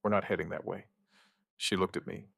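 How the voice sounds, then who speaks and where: A man playing an outbreak survivor who gives a wry, weary witness account in an audio drama, in short, halting, understated phrases. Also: deep voice, fairly even intonation, gravelly, a bit bright, dry recording, clean studio sound, exaggerated voice. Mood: sombre, watchful. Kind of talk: scripted first-person audio drama narration.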